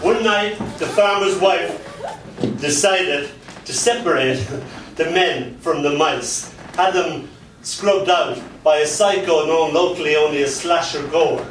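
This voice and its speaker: A man performing dark comic poetry and stories live, with a theatrical delivery and dramatic pauses. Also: on the high side. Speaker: spoken word poet. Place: spoken word venue in a London pub. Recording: echoey live venue, distant mic, background noise.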